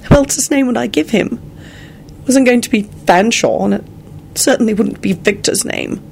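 A tender, bewildered woman in a story, as a man puts her on. The voice sits high and soft, with a musing manner, over faint background noise. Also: moderate pace, gravelly. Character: gentle, bewildered young woman, tender and grateful.

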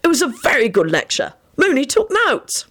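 A woman voicing a brash, foul-mouthed young male wizard with a coarse, sneering manner and lively pitch. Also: moderate pace, exaggerated voice. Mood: cheeky. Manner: coarse and sneering, lots of swearing.

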